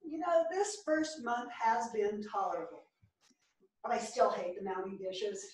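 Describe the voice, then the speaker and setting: A woman's voice: mid-range voice, unhurried, a little mellow, close to an everyday voice. A woman playing an opinionated, wry grumbler who makes declarations in a staged play reading in a theater. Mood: wry grumbling. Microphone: far camera. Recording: echoey theater, distant camera microphone.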